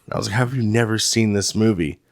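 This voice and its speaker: A hoarse man co-hosting a casual podcast, easygoing and swearing freely. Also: fairly low pitch, fast, no room sound, studio quality. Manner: easygoing, swears, laughs along. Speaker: podcast co-host. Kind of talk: casual chat. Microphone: studio mic.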